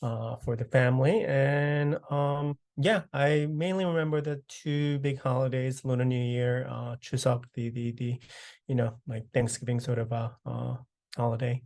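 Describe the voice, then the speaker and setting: A man's voice: medium pitch, unhurried, gravelly. Man in his thirties, from South Korea. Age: 30s. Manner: relaxed, many fillers like uh and you know. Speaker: interviewee. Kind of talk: oral history interview. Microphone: video call.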